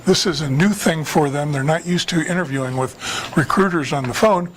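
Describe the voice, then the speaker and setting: A man's voice: on the high side, brisk. A man co-hosting an advice segment, putting prompting questions to his guest expert as interviewer. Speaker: interviewer and co-host. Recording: faint background noise.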